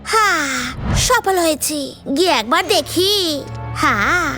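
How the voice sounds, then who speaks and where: A woman voicing an eager, sneaky cat henchman in a dubbed children's cartoon, excitable and servile. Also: extremely high pitch, a little slow, soft background music, exaggerated voice. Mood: sneaky glee.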